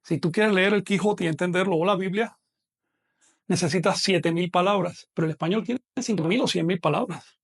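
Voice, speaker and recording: High, gravelly-voiced man lecturing. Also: normal speed. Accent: non-Mexican Spanish. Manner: explanatory, addresses students directly with check-in questions. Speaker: language teacher. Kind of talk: online lecture.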